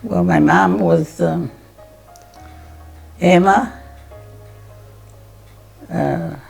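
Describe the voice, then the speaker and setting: A woman in her eighties from Iowa, answering questions in a family interview, halting and searching for her words. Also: fairly low pitch, gravelly, darkish tone, slightly rough, soft background music. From Iowa, United States. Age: 80s. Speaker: interviewee, grandmother.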